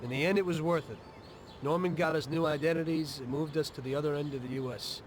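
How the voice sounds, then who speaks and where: A man's voice: a little high, steady tone, slight background noise, lightly acted. An actor with an American accent playing a weary, matter-of-fact ex-mobster who tells his story in a video game. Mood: reflective. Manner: measured, plain-spoken, understated. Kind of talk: dramatic video game dialogue and narration.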